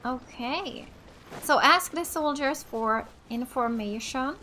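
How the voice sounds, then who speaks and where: A woman's voice: sits high, slow, bright, forceful tone, slight background noise. A woman from Finland, giving casual gameplay commentary as a let's play host. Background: game music.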